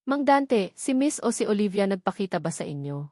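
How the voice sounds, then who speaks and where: A woman's voice: mid-range voice, big pitch swings, normal speed, mellow, no echo, clean studio sound. A woman voicing a worried young male company boss who asks questions with anxious urgency, in a storybook reading.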